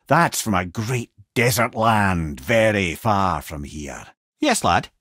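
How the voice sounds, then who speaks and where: A man's voice: lowish voice, lively intonation, takes it slowly, a bit bright, no echo, clean studio sound, heavily acted. An actor playing a witty, learned old male talking head in a video game, with a Scottish accent and a wry, wordy, old-fashioned storytelling manner. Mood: wry, companionable. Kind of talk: video game character dialogue, storytelling and battle callouts.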